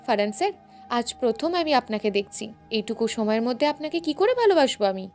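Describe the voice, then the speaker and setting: A woman's voice: on the high side, big pitch swings, brisk, faint music behind. A woman voicing a fiery, outspoken young female student in a story, indignant and scolding. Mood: firm, indignant. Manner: sharp, scolding.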